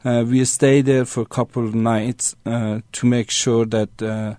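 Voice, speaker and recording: A man's voice: mid-range voice, level tone, a little slow, dark tone, no room sound. Man in a dry studio. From Iran. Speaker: radio guest. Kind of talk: conversational personal account.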